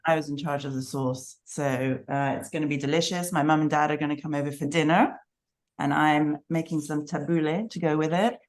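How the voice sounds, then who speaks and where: Woman caller from England, low voice, warm and earnest. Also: fairly even intonation, fairly quick. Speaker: caller joining a cook-along. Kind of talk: casual video-call chat. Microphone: video call.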